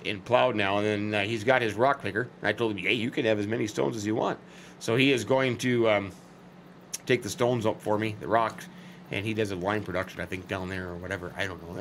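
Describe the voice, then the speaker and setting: A man's voice: medium pitch, vocal fry, a bit bright. Folksy man with a scratchy throat. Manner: rambling, folksy, chatty asides. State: slightly hoarse. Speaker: let's play host. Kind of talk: casual farming game commentary.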